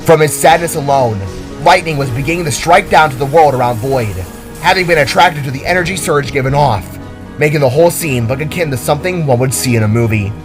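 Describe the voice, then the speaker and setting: A man's voice: a little high, fairly quick. Man dramatically narrating fantasy over faint music. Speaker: narrator, storyteller.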